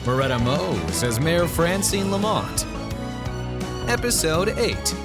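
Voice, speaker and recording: Slow, lively male announcer over loud music. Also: a little high. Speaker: show announcer reading credits. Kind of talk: scripted podcast intro narration. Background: theme music.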